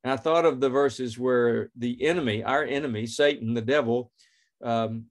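A man's voice: mid-range voice, moderate pace. A man in his fifties hosting a faith podcast, telling a story and reading scripture in an earnest, plain way. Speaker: podcast host.

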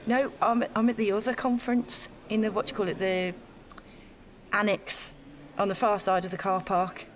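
A woman's voice: mid-range voice, level tone, moderate pace, slight background noise, close to an everyday voice. An actress playing an eager, self-effacing young woman in a naturalistic audio drama, talking in a chatty, gushing way. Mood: shy, hopeful.

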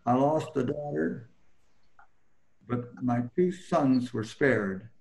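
An older man remembering his life as a guest on a video call, talking haltingly with many fillers and restarts. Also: mid-range voice, creaky, a little mellow, poor audio. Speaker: panel guest. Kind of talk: personal recollection in an interview. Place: home room, indoors.

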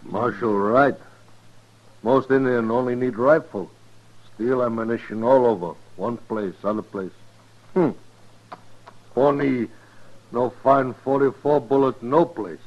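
Slow, terse army scout. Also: male voice, mid-range voice. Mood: wary. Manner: clipped broken English, terse. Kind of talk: 1950s radio western acting. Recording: dull lo-fi old radio recording.

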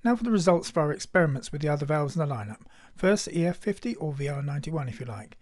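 A man narrates a technical hobby demonstration from a script. His voice sits a little higher than the average man's, and he speaks in the manner of an explainer. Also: expressive, quick pace, a little mellow.

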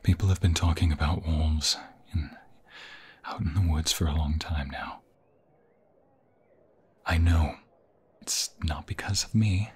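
A man playing an anxious, tender young vampire fiancé in an intimate ASMR roleplay, halting and emotional, often dropping into a breathy whisper. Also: a bass voice, a little mellow, exaggerated voice. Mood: stressed, hushed.

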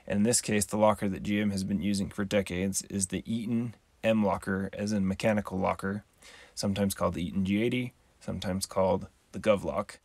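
A very low-pitched man with a gravelly edge walks the listener through a how-to in calm, methodical steps. He is recorded close and dry, with no room sound around him. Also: no echo. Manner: calm, methodical, measured explaining. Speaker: channel host. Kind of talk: explanatory voice-over tutorial.

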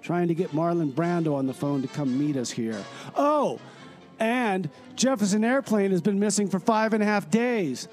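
Man as a breezy field reporter in a radio comedy, high voice, faint music underneath. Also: lively intonation, normal speed, darkish tone. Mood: upbeat. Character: cheerful man reporter stranded in a 1968 jungle. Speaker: comedy sketch narrator.

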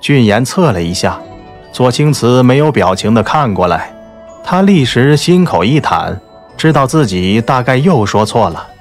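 A man's voice: medium pitch, very animated, normal speed, faint music behind. A man reading scripted dramatic narration from a romance novel. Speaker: audiobook narrator.

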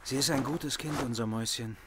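A man's voice: mid-range voice, a little fast, heavy background noise, lightly acted. A man playing an easygoing, distractible middle-aged doctor and father in an audio drama, speaking in a casual, placating way. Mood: sheepish.

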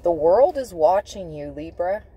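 A woman giving an intuitive tarot reading, speaking in deliberate, halting phrases with emphatic repetition. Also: fairly low pitch, animated, slightly rough, dull audio. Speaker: tarot reader. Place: inside a parked car.

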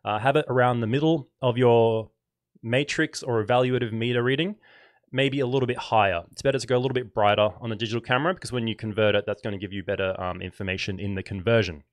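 A man's voice: mid-range voice, fairly even intonation, fast talker, dry recording. Slightly nasal man, dry close mic. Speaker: host of a live photography tutorial stream. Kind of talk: casual live demo and explanation. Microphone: dynamic microphone on a stand.

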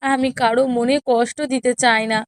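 A woman voicing a meek, sorrowful elder wife in a children's cartoon, pleading gently and submissively. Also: a little high, little pitch movement, moderate pace, clear, resonant tone, natural voice. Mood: sad, pleading. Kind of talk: children's cartoon story dialogue.

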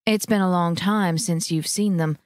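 A gentle, composed mother, played by a female storyteller. She speaks quietly and politely, never raising her voice, in a dry, close recording. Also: fairly low pitch, steady tone, normal speed, no echo, natural voice.

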